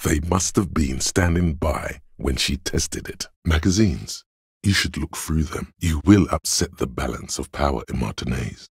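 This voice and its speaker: Extremely deep, gravelly voice of logic. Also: male voice, lively intonation, no echo, clean studio sound, exaggerated voice. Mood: analytical, cool. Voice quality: gravelly, husky. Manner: measured, cerebral, matter-of-fact. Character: man's inner voice of cold logic, analytical and measured. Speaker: video game voice actor. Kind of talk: scripted game narration of inner thoughts.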